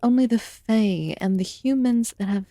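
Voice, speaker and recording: A woman playing an ancient, aloof fae in a fantasy ASMR roleplay, murmuring softly and formally with some whispered phrases. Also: a little high, no echo, clean studio sound, close to an everyday voice. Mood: amused, patient. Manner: slow, deliberate, archaic formal wording. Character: ancient aloof female fae recluse, haughty yet quietly caring.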